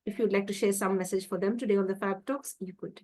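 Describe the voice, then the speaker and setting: A woman's voice: mid-range voice, steady tone, quick pace, darkish tone. A young woman hosting a video call interview, asking polite, encouraging questions. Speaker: interview host.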